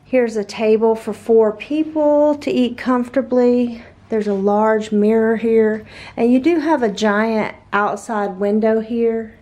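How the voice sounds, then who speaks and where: Woman doing a hotel room tour vlog, bit raspy from travel, fairly high voice, upbeat, camera mic in the room. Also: steady tone, slow pace, warm tone. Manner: chatty, upbeat, tour-guide pointing out details. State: slightly raspy after overnight flight. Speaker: travel vlogger. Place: hotel suite, indoors.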